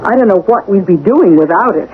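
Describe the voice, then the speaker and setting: A woman playing a gentle, affectionate, concerned wife in a radio drama. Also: medium pitch, lively intonation, fairly quick, natural voice. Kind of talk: 1970s radio drama acting. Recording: narrowband, noisy vintage radio.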